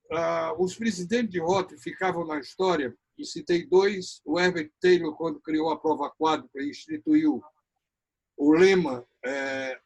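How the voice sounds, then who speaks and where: A man from Ceará, Brazil, with a Brazilian accent, hosting an online talk show and giving a formal welcome. He talks haltingly, searching for words, with many pauses. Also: fairly high pitch. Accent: Brazilian Portuguese, from Ceará. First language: Portuguese. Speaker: host.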